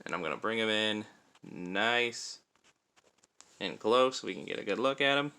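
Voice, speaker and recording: A man's voice: on the low side, lively intonation, normal speed, pushed and bright, clean tone. A man talking informally through a hands-on toy review, explaining things step by step. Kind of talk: casual hands-on product review.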